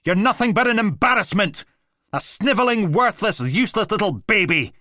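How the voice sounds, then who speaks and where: A drunken, brutal man snarls with a cruel edge and bursts into raging shouts, as a male narrator puts him on. The voice sits low when it simmers and climbs high when it roars. It sounds close and dry. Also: expressive, normal speed, dry recording. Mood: bellowing. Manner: slurring menace rising to bellowing rage.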